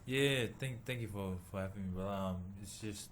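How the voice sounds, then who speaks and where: A man from New Zealand, a boxer, answering interview questions haltingly with many pauses and fillers. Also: lowish voice, a little hiss or hum. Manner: halting, many ums and uhs, soft-spoken. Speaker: boxer and former rugby league player, guest. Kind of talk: casual interview.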